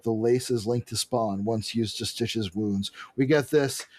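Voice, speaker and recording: A man's voice: medium pitch, measured pace, darkish tone. A man co-hosting a podcast, casually recapping comics in an irreverent, profane, jokey way. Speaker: podcast co-host.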